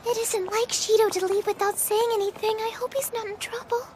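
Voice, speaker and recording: Tiny, very high-voiced shy girl. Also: fairly even intonation, a little fast, background noise. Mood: timid, worried. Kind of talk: anime fan dub acting.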